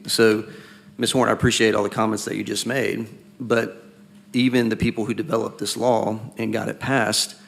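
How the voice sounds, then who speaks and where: A raspy man talks through a policy point at a board meeting. His low voice has a gravelly fry and stays fairly flat. He questions deliberately and restarts phrases as he builds his case. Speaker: school board member. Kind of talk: public meeting discussion.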